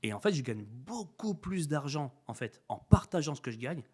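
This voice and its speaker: A man's voice: a little high, big pitch swings, normal speed, darkish tone, breathy edge. A man from France speaking as a podcast guest in a conversational interview, emphatic and repeating phrases for effect.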